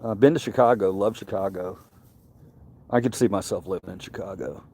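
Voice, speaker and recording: A man's voice: low voice, fairly quick, dark tone, husky. A middle-aged man from Mississippi with a Southern accent, chatting casually on a livestream, rambling and repeating words. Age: Gen X, middle-aged. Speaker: livestream host.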